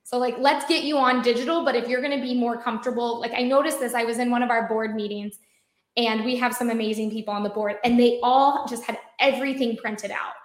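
A woman's voice: fairly high pitch, steady tone, brisk. Chatty American woman in an echoey room. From United States. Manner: chatty, many fillers and restarts. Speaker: marketing guest. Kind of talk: conversational interview. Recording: roomy echoey sound.

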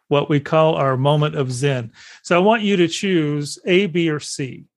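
A woman with a low voice hosts an interview and offers encouraging words. The sound is dry and close, with no room echo. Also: fairly even intonation, takes it slowly. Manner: encouraging, affirming. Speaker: interview host. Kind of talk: casual interview.

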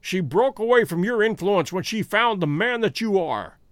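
A man voicing a composed, stern detective in an audiobook, calm, precise and commanding. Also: high voice, normal speed, dry recording, clean studio sound. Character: composed, masterful male detective, stern and assured.

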